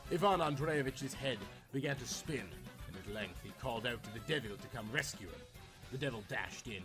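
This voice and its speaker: Male narrator: dramatic scripted storytelling, lively intonation, soft music bed. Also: medium pitch.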